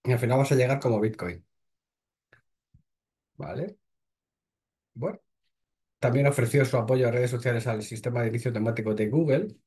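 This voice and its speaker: Man, husky, mellow dark tone, reads news then adds blunt remarks. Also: mid-range voice, moderate pace, long pauses. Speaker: host. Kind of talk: casual commentary reading crypto news.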